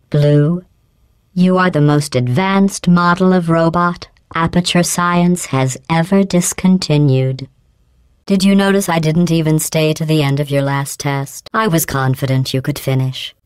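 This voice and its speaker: A woman voicing a cold, sarcastic female AI overseer in a video game, with robotic processing on her voice and deadpan, mocking praise. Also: low voice, clean tone, no room sound. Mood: dry mocking. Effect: synthetic robotic voice processing.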